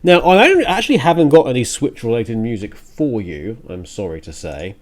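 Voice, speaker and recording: A man's voice: mid-range voice, sing-song, normal speed. A man hosting a music radio show, rambling casually and thinking aloud with hesitant digressions.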